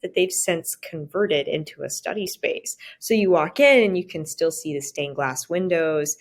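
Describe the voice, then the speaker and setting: A woman's voice: low-pitched, normal speed. A young woman chatting casually as a podcast guest, with thoughtful, wry and slightly wordy phrasing. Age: young adult. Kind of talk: casual chat.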